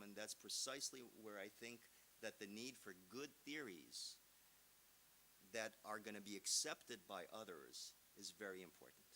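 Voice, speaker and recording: A man's voice: fairly low pitch, pushed and bright, poor audio. A man from Romania, a visiting professor answering seminar questions in an explanatory, emphatic, conversational manner. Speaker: visiting professor, guest lecturer. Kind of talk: academic seminar answer with examples. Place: seminar room. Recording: clear background hiss.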